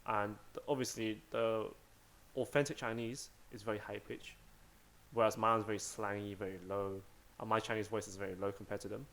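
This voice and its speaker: A young man co-hosting a podcast, chatting in a relaxed, conversational way. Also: lowish voice, moderate pace. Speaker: podcast co-host. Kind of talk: casual chat.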